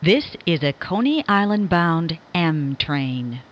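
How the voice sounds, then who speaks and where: A woman reads scripted subway announcements as a recorded train announcer, in a measured, formal, clearly enunciated manner. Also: sits low, sing-song, lo-fi sound.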